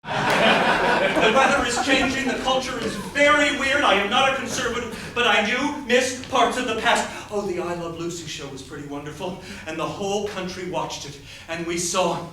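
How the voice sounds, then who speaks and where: A man's voice: sits high, normal speed, close to an everyday voice. An actor playing an agitated, nostalgic middle-aged man in a theatrical stage monologue. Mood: indignant nostalgia. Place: theatre stage before an audience. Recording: roomy, distant stage recording, audience noise.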